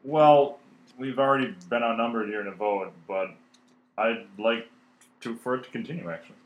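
A man in comedic podcast banter, halting and correcting himself, in a slightly noisy room recording. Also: mid-range voice, echoey room.

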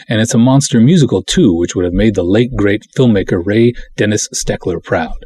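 A man hosting a podcast solo in a casual, rambling, self-deprecating way, chatting and reading things aloud with a husky voice. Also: fairly low pitch, a little mellow. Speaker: podcast host.

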